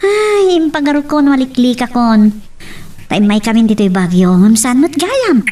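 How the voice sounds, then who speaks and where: A woman playing a young, easily startled woman in an audio love drama, with an Ilocano accent and expressive exclamations. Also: on the high side, moderate pace, recorded from a distance. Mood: worried. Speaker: voice actor.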